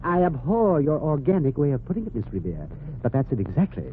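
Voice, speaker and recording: An actor playing a fussy, pompous, easily flustered department store floorwalker in a 1940s radio comedy. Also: male voice, fairly high pitch, very animated. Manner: prissy, flustered, haughty, drawn-out exclamations. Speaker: comic supporting actor. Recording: tinny, noisy 1940s radio recording.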